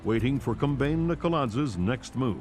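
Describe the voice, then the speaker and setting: An actor playing a serious television newscaster in a video game, reading scripted reports with formal gravity. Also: male voice, mid-range voice, animated, normal speed, over music, close to an everyday voice. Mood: grave. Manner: formal, grave delivery.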